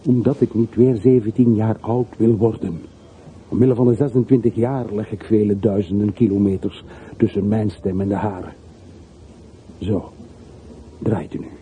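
Radio play role: wistful wealthy middle-aged man male actor, lowish voice, brooding and confessional, phone-thin hissy recording. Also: fairly even intonation, normal speed, pauses often, mellow, highs cut off, close to an everyday voice. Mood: insistent. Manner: brooding, confessional, short clipped sentences.

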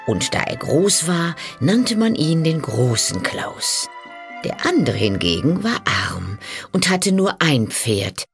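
Female storyteller, low voice, slow, richly animated, scripted fairy-tale narration, expressive. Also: gravelly, faint music behind.

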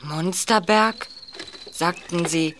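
A woman's voice: on the low side, slow, fairly bright tone, a little hiss or hum. A woman playing an easygoing, curious teenage boy detective in a radio play, with a casual questioning manner.

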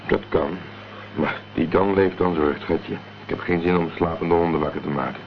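A man's voice: low voice, fairly even intonation, moderate pace, vocal fry. A man acting a cool, determined amateur investigator in a Dutch radio crime drama, speaking tersely and matter-of-factly. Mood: analytical, determined. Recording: dull, noisy old radio play recording.

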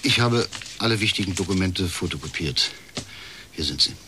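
An actor playing a bitter, middle-aged male official confiding as a whistleblower in a radio crime drama, with a husky voice and measured delivery. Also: low-pitched, expressive, background noise. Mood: urgent, confiding. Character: disillusioned, chain-smoking male privatisation official, uneasy whistleblower.

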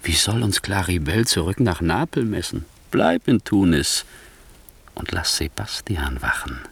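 A man's voice: low voice, lively intonation, unhurried, gravelly, slightly rough, full character voice. An actor playing a sly, ambitious, scornful nobleman in a classical 1960s German radio drama, with a theatrical, persuasive delivery. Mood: mocking, persuasive.

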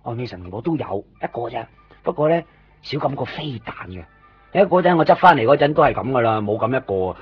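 A man acting an eager, chatty toy shop owner in a radio drama, rambling excitedly in Hong Kong Cantonese. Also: mid-range voice, fast, lo-fi sound, close to an everyday voice. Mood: teasing, confiding. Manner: excitable, rambling, fond of showing off toys. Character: eager, chatty male second-hand toy shop owner, kind to customers. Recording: band-limited 1990s radio recording with faint music.

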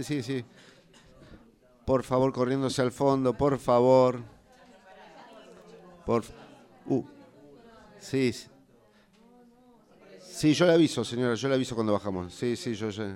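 A man's voice: mid-range voice, fairly even intonation, unhurried, pauses often, darkish tone, smooth, close to an everyday voice. Guy playing argentine bus driver in a radio play, stressed out, bossy, keeps repeating orders at passengers. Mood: harried, bossy. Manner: repetitive, bossy, nagging. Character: harried, impatient male city bus driver ordering passengers around. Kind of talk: improvised radio drama acting.

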